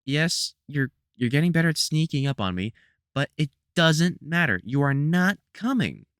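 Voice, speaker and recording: Man acting as exasperated, protective veteran monster hunter, lively pitch. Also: moderate pace, no room sound, clean studio sound. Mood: stern, exasperated. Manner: colloquial, sardonic, scolding mentor with mild swearing. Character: gruff, protective veteran male vampire hunter, exasperated but fond. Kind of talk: scripted audio roleplay, one-sided conversation.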